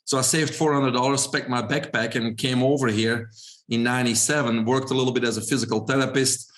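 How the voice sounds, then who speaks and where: A man in his forties from Belgium with a Belgian accent, a podcast guest who tells his story in a relaxed way with frequent fillers. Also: medium pitch, a little fast. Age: 40s. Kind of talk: podcast interview.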